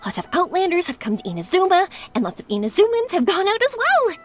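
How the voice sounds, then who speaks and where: A woman's voice: extremely high pitch, huge pitch swings, fast talker, exaggerated voice. A woman voicing an exuberant, chatty young artisan woman in a video game. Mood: bubbly, excited. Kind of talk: English video game voice acting. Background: faint game music.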